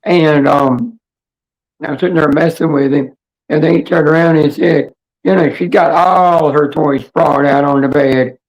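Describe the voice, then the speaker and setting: A woman with a hoarse, raspy voice hosting a casual livestream chat in a rambling, halting, blunt way. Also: sits low, fairly even intonation, darkish tone, poor audio. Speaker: livestream host.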